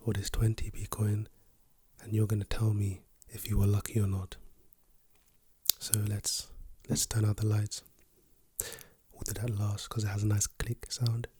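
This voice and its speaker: A man with a lost, hoarse voice, speaking softly and whispering in a playful, teasing way as an ASMR creator. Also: lowish voice, completely flat delivery, normal speed, no room sound. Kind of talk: soft-spoken and whispered ASMR.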